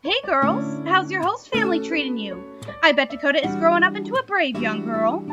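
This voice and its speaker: A woman's voice: extremely high pitch, big pitch swings, fairly quick, highs cut off, loud music behind the voice, voice pushed up. A woman voicing an affectionate, cheerful angel mother in a storybook reading. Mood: delighted. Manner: affectionate, chatty. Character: warm, cheerful angel mother of grown daughters.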